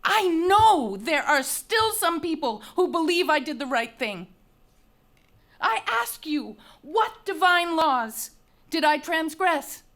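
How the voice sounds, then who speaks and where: Young condemned princess, high voice, proud and anguished, declaiming Greek tragedy on stage. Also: female voice, sing-song, takes it slowly, a bit bright, full character voice. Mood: defiant pleading. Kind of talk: dramatic reading of Greek tragedy.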